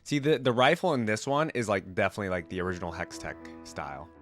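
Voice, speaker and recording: A man's voice: on the low side, very animated, quick pace. A man with a stuffy, congested voice from a cold, giving casual, rambling reaction commentary as a video host, thinking aloud as he goes. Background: game theme music.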